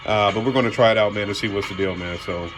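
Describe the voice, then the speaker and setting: A man's voice: fairly low pitch, fast, music in the background. A man hosting a car audio vlog, talking casually and colloquially, addressing viewers as y'all and man. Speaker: car audio channel host. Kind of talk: casual product unboxing talk.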